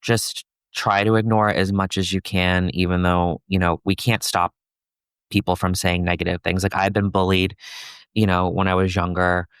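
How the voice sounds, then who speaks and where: Man, young adult, low smooth voice, flat delivery, soft-spoken, dry close sound. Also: moderate pace, no room sound. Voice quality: soft, somewhat feminine voice. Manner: soft-spoken, relaxed, many fillers like "you know" and "like". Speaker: podcast guest, content creator. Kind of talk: casual conversational interview.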